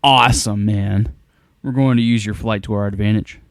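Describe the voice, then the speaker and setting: An actor playing a determined, clever inventor hero in an audio drama, explaining plans in an earnest, matter-of-fact way. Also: male voice, on the low side, steady tone, moderate pace, creaky, smooth, no room sound, studio quality. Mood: resolute, commanding. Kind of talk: scripted audio drama acting.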